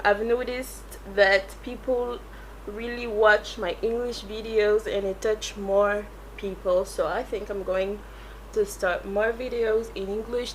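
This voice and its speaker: A woman's voice: mid-range voice, slight background noise, low-quality recording. A French-accented woman, a native French speaker, chatting casually through a makeup tutorial and rambling as she searches for English words. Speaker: beauty YouTuber.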